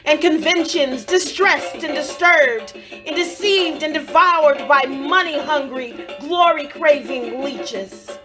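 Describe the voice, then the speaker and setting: A woman recites poetry solemnly and very slowly, pausing between short phrases. Her voice sits a little high and carries a dramatic, measured delivery. African drums play clearly behind her. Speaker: poetry reader. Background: African drum music.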